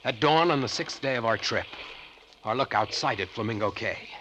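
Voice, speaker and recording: Male actor as adventurous ex-Navy man, bright forceful voice, earnest, vintage radio drama, slight background noise. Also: medium pitch, moderate pace, close to an everyday voice. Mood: reflective, vivid. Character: earnest, adventurous American ex-Navy treasure hunter. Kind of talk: 1940s radio drama narration and dialogue. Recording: faintly noisy vintage radio recording.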